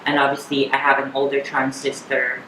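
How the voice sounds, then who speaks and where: A woman in her thirties with a Filipino accent, chatting candidly as an interview guest, often halting and repeating words. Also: extremely low pitch, level tone, sharp tone. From Philippines. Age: 30s. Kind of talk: personal interview chat. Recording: echoey room with clear background noise.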